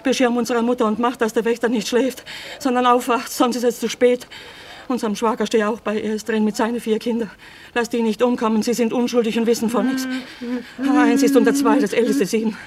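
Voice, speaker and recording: A woman's voice: a little high, level tone, a little fast, darkish tone, close to an everyday voice. An actress playing a frightened peasant woman who pleads for her family in rustic speech, in a radio drama. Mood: urgent pleading. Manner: plain rustic dialect phrasing, imploring. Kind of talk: stage-like radio drama acting.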